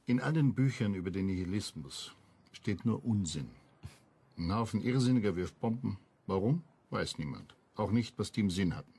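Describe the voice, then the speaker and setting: An actor playing a bookish, opinionated loner in a radio crime drama, blunt and didactic, with a gravelly, raspy voice. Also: male voice, fairly low pitch, animated, moderate pace, warm tone. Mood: dismissive.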